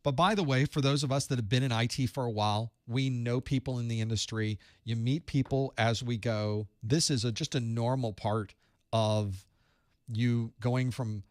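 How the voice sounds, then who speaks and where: A man's voice: mid-range voice, fairly even intonation, moderate pace, no room sound, studio quality. A man hosting a live study group as an IT instructor, talking earnestly and off the cuff, often restarting and repeating phrases.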